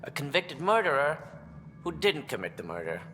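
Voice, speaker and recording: A man's voice: fairly high pitch, huge pitch swings, moderate pace, bright, forceful tone, full character voice. Sci-fi game casting: self-important male alien station director, formal politician's delivery, echoey hall with background noise. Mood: grave, measured. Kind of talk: video game cutscene acting.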